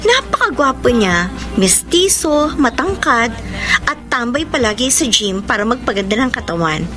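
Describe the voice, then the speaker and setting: Sassy, high-pitched young Filipino woman. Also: very animated, normal speed. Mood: confiding. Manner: campy slangy banter with exclamations. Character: sassy, cute, chubby young woman, exasperated and playful. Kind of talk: radio drama acting with letter narration. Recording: music bed under voice.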